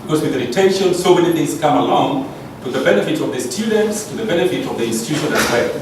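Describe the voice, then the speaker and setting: Kenyan-accented male academic speaker, mid-pitched and polite, distant from the mic with strong room echo. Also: animated, fast talker, background noise. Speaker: college business lecturer presenting. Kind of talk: conference presentation. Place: large echoey room, recorded from afar.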